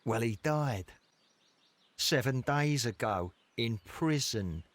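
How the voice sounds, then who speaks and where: A suspicious criminal ringleader from a video game, with a mid-pitched voice. He is curt, bossy and menacing, used to giving orders and being obeyed. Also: lively intonation, slow pace, no echo. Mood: gruffly businesslike. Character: suspicious, bossy male criminal gang leader. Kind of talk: scripted video game acting.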